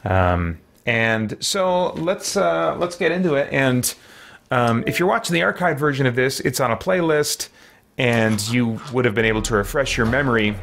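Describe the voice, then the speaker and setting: A man's voice: mid-range voice, animated, moderate pace, gravelly, a bit bright, a little hiss or hum. Hesitant, rambling man streaming a game. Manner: hesitant, rambling, self-deprecating. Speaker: streamer, host of a let's play. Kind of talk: casual livestream chat. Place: small room at home.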